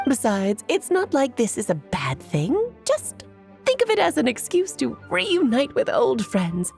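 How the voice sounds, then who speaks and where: A woman's voice: high voice, wildly swooping pitch, unhurried, creaky, darkish tone, faint music behind, heavily acted. A woman playing a playful, scheming, self-assured mage aunt in a video game, airy and teasing in a theatrical way. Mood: coy, coaxing.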